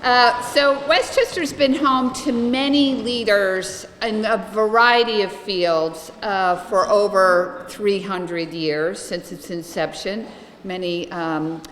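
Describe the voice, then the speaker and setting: A middle-aged woman giving a chatty, lively history lecture to a room of listeners. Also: medium pitch, slight background noise. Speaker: guest lecturer, local historian. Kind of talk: public lecture with slides. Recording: echoey room, some reverb.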